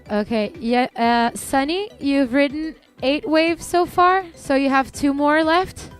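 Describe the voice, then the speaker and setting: A woman's voice: high voice, slow. Upbeat woman calling a beach contest. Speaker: event commentator and interviewer. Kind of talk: live sports commentary and interviews. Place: outdoor beach event. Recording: music playing behind.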